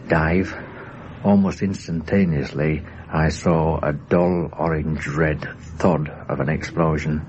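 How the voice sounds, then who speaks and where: An extremely low male voice recalls a personal experience haltingly and factually. The old radio documentary recording is muffled, with faint noise behind him. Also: darkish tone. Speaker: air crash survivor recounting his wartime experience.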